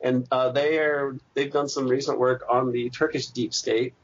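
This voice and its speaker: A man answering interview questions at length in a thoughtful, halting academic way, with frequent restarts and fillers. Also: medium pitch, flat delivery, breathy edge. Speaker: interview guest, PhD candidate. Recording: dull lo-fi podcast audio.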